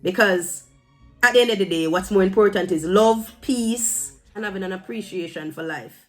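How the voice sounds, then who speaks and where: A woman's voice: medium pitch, normal speed, a bit bright, soft background music. A woman from Jamaica with a Jamaican accent, talking casually to her viewers in an emphatic, admonishing way and slipping into Patois phrases. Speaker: vlogger giving her opinion. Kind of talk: casual opinion talk to camera.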